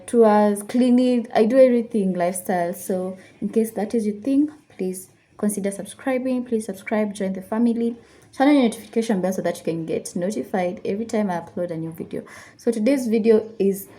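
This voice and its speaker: A woman's voice: medium pitch, a little fast, mellow. A woman, chatting casually to her viewers while she does her makeup, slipping Swahili phrases into her English. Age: 20s. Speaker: lifestyle YouTuber. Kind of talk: chatty get-ready-with-me talk while doing makeup. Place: indoors at home.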